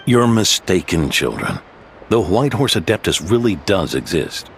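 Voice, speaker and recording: Casting: older kindly innkeeper for a game, deep voice, highly animated storyteller. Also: male voice, unhurried, creaky, a little hiss or hum, full character voice. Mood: assured. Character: kindly older male guest house keeper telling legends. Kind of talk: video game voice acting.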